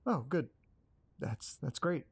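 A man's voice: on the high side, expressive, slow pace, slightly rough, full character voice. A man playing a nervous, hesitant young man who apologizes and stumbles over his words in an audio drama. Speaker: lead character.

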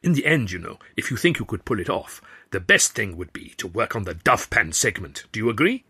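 Male audiobook narrator, low, husky, bright-toned, wry delivery. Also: very animated, fairly quick. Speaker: author and narrator.

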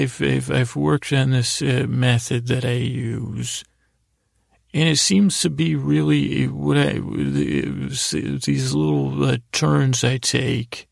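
Man, very creaky voice, middle pitch, rambling bedtime storyteller, halting and meandering, dry close sound. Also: no room sound. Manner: meandering, halting, trails off mid-thought; silly, mildly absurd humor. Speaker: podcast host.

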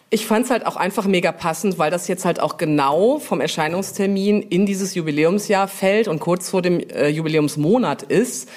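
A woman chatting casually as an interview guest, explaining her work in a wandering, informal way. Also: on the low side. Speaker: interview guest, publishing brand manager.

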